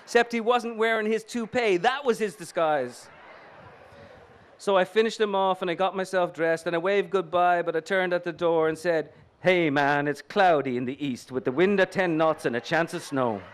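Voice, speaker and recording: Guy doing a sassy wisecracking street gal, brash, voice pushed up high. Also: normal speed, a little hiss or hum, natural voice. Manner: sassy, wisecracking, lifts into a higher voice for her lines. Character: brash 38-year-old female street worker.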